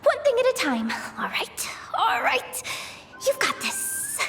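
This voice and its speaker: Very high female voice, swooping intonation, flustered young staffer, theatrical, easily panicked, game acting. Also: huge pitch swings, slow, creaky, heavily acted. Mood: self-coaching, nervous. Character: frazzled, dramatic young guild staffer woman, easily panicked. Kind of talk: animated video game voice acting.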